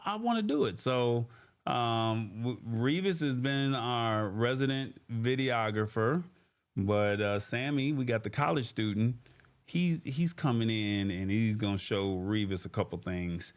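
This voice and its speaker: A man hosting a tech livestream, talking informally and folksily to his viewers in a casual, chatty way. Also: medium pitch. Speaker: host of a tech livestream show. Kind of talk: casual livestream chat, reading comments and news.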